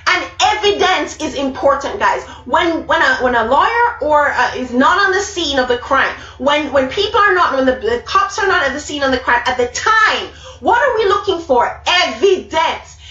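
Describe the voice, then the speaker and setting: A woman's voice: high voice, lively intonation, moderate pace, few pauses, pushed and bright. A middle-aged woman with a Jamaican accent gives a motivational Bible talk to a live group, emphatic and repeating key words for stress. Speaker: speaker. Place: small room indoors. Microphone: webcam at arm's length. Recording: roomy, distant, dull webcam sound.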